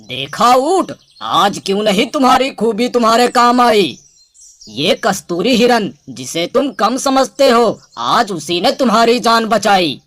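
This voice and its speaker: A woman's voice: on the high side, very animated, measured pace, fairly bright tone, full character voice. A woman voicing an authoritative male lion king in a cartoon moral story, commanding and admonishing. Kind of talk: animated animal moral story.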